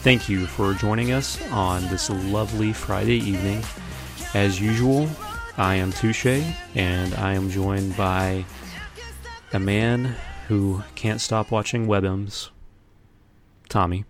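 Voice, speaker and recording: A man's voice: on the low side, steady tone, slow, over music. A man hosting a casual podcast with friends, bantering in a jokey, self-deprecating manner. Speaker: podcast host.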